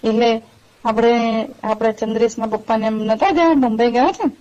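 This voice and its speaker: A woman playing a worried middle-aged aunty who clings to a secret lover, with a Gujarati accent and a halting, pleading manner in a staged phone call. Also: a little high, steady tone, a little mellow, close to an everyday voice. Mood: uneasy confiding. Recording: dull lo-fi phone call recording.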